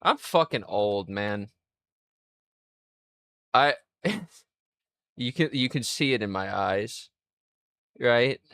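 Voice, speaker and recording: Slow, smooth-voiced rambling young man. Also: mid-range voice, animated, fairly bright tone. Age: 20s. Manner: rambling, crude and self-mocking. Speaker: livestreamer. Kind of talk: casual livestream chat.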